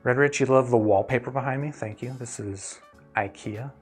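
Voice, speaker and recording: Guy chatting live to his viewers, normal mid pitch, kinda thinking out loud, some music going. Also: moderate pace, slightly rough. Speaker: host of a livestream reviewing earphones. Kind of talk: casual live chat, answering viewers' questions.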